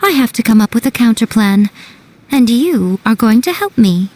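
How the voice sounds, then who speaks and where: A woman's voice: a little high, big pitch swings, normal speed, noisy background. A woman voicing a sly, teasing shrine priestess who runs a publishing house, in a video game. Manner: teasing, playful, superior.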